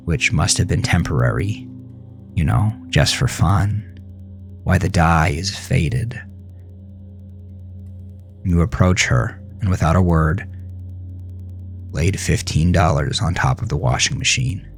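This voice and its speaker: A man's voice: low voice, slow pace, long pauses, soft background music. A man narrating a horror story in a dramatic, grave and suspenseful manner. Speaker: author narrating his own horror fiction.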